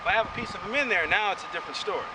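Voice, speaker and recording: A man's voice: sits high, animated, racing. A man teaching karate outdoors in a chatty way, tagging "right?" onto his points, recorded from a distance with wind and traffic around. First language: English. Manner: chatty, keeps adding "right?". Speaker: karate instructor. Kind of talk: outdoor martial arts lesson. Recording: windy outdoor audio, distant mic. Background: wind and passing vehicles.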